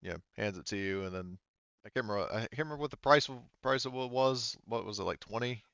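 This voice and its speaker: A man narrating a tabletop roleplaying game as game master, in a halting, rambling way, thinking aloud as he goes. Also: fairly low pitch, vocal fry, a bit bright, smooth, no echo, highs cut off.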